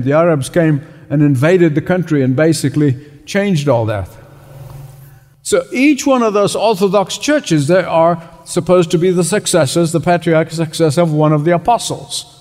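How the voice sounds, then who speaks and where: A man from Egypt preaching a sermon, emphatic, repeating key phrases and slowing down to stress words. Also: a little high, a little mellow. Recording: long reverb of a large hall.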